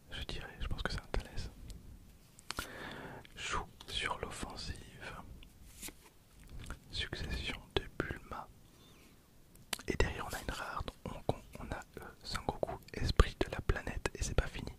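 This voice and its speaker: Man, twenties, unvoiced breathy whisper, informal ASMR murmuring, casual running commentary. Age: 20s. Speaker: ASMR video creator.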